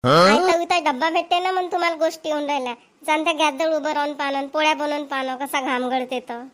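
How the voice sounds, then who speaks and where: A woman's voice: sits high, fast talker, fairly bright tone. A woman playing a spoiled, argumentative young wife in a cartoon, whiny and defiant, with a Vidarbha Marathi accent. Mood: defensive. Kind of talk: amateur cartoon voice acting.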